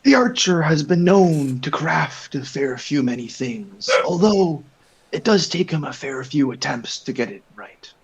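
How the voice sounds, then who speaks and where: Higher, husky male player, formal third person, dull lo-fi line. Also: expressive, measured pace. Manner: formal, old-fashioned wording. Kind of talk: speaking about his character in the third person.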